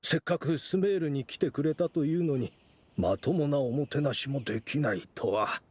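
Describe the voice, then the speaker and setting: An actor playing a courteous, measured middle-aged gentleman official in an anime game. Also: a little high, slightly rough, no room sound. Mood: apologetic.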